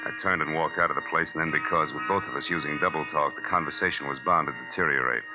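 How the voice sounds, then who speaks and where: An actor playing a wry, tough American private detective, with dry, slangy delivery in the style of 1940s radio drama. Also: male voice, extremely low pitch, fast. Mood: sardonic. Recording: muffled vintage radio, loud music behind.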